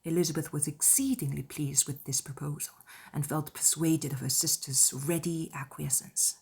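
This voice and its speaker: A woman narrates a classic novel in a scripted literary style. Her voice sits on the low side and has a husky, breathy texture. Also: moderate pace, a little mellow. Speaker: audiobook narrator.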